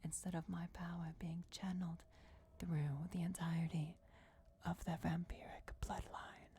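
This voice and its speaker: A woman's voice: fairly low pitch, flat delivery, a little slow, warm tone, slight background noise. A woman voicing a regal, commanding vampire goddess in a solemn whispered fantasy ASMR roleplay. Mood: proud, commanding. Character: ancient vampire goddess, commanding, softly menacing, bestowing destiny.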